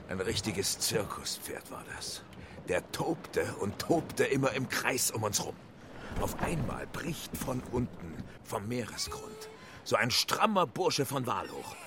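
An actor playing a hearty sea captain in an audio drama, spinning yarns with salty, animated relish. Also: male voice, medium pitch, background noise. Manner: jovial, animated storytelling with salty turns of phrase. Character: hearty English sea captain, one-armed, vivid yarn-spinner.

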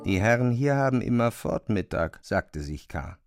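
A man narrating a literary radio drama in a measured, precise way, as a composed observer. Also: on the low side, lively intonation, dry recording, studio quality. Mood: measured, observant. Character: male narrator of an absurd bureaucratic tale, observant and composed.

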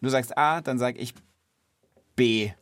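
A woman hosting a children's podcast in casual, playful chat, with colloquial, expressive talk. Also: very deep voice, takes it slowly, heavy background noise.

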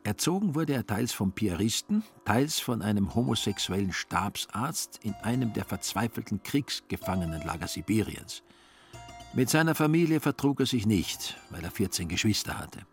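A man narrating a literary radio play in a measured, dryly ironic way. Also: on the low side, sing-song, faint music behind.

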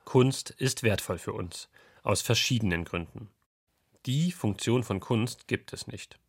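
A man reporting for a radio culture magazine in scripted narration, reflective and posing rhetorical questions. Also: lowish voice.

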